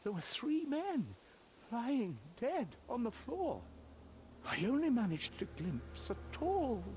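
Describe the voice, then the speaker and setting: An actor voicing an odd, furtive, nervous hermit in a video game, muttering hesitantly and evasively. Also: male voice, very high-pitched, very animated, slow, warm tone, slight background noise, full character voice. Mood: uneasy, confiding. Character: odd, furtive, nervous male hermit who hoards bones. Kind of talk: video game character dialogue. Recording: game dialogue over prominent music.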